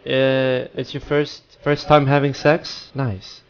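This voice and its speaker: A man's voice: medium pitch, level tone, slow, dull audio, slight background noise. A young man hosting a gaming video, teasing and provoking teammates over voice chat with mock-friendly sarcasm. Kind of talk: trolling voice chat during an online shooter match.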